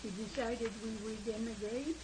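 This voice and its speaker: A Scottish woman around ninety, slowly and hesitantly recalling her early life in an oral history interview. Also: a little high. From Scotland. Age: about 90. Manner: slow, hesitant, reminiscing with fillers. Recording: hissy 1970s tape recording.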